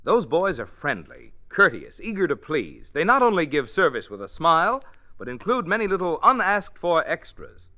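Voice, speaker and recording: A man's voice: a little high, huge pitch swings, moderate pace. An American man announcing and reading a scripted 1940s radio ad with folksy, polished salesmanship. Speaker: radio announcer, sponsor pitchman. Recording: dull lo-fi old radio recording.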